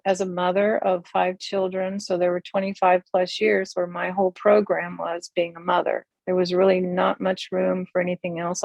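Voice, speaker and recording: An older woman and community elder, speaking reflectively on a video call from a room that looks onto a forest, with many pauses and restarts. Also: lowish voice, gravelly, a little mellow, no echo. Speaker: interview guest, community elder.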